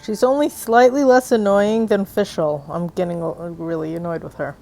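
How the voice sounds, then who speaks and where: A woman's voice: on the low side, steady tone, moderate pace, gravelly, warm tone, faint music behind, poor audio. A woman giving casual, unimpressed commentary as she plays a video game. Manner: unimpressed, offhand. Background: game sound.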